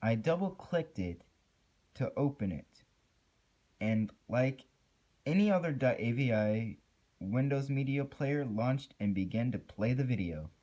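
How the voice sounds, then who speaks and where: A man's voice: mid-range voice, animated, a little slow, warm tone, lo-fi sound. A man giving an amateur dramatic reading of a first-person horror story. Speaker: amateur creepypasta narrator.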